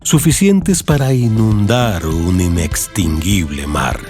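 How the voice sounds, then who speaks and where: A man with a Colombian accent narrating a literary radio drama audiobook in a measured storytelling delivery, with music behind him. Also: deep voice. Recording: music bed under narration.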